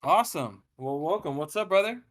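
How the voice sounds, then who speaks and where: A man hosting a live coaching call, talking casually and acting out thoughts with sudden loud bursts. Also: a little high, a little fast. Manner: energetic, acts out his own thoughts in a loud excited voice.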